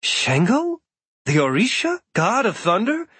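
A man voicing a young woman druid who asks quick, eager questions. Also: on the low side, big pitch swings, husky, no echo, voice pushed up, full character voice. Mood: curious.

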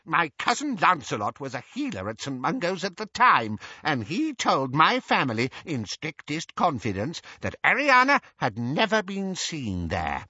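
A man voicing a spiteful, gleeful old aunt, a tipsy witch who relishes scandal in a shrill, emphatic voice for a storybook. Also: huge pitch swings, unhurried, few pauses, pushed and bright, breathy edge, no echo, highs cut off, full character voice. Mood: haughty.

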